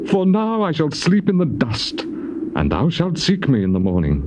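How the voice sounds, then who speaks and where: A man's voice: on the high side, wildly swooping pitch, moderate pace. An actor playing an afflicted, despairing man who pleads bitterly in declamatory, archaic scripture drama. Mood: anguished. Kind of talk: dramatized scripture reading, stage-trained acting. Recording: noisy, muffled old cassette tape.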